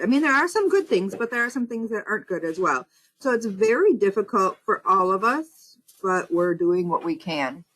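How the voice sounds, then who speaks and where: Unhurried woman, steady middle voice. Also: mid-range voice, low-quality recording. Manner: unhurried, explains step by step in lists. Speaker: county supervisor and state representative. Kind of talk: interview answers.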